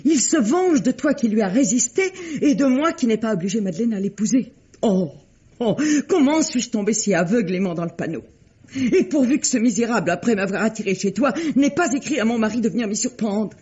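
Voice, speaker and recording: An actress playing an anguished, self-sacrificing married woman in a French radio melodrama, breathy, sighing and pleading. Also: a little high, expressive, fairly quick, mellow. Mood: alarmed. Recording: muffled old radio drama recording.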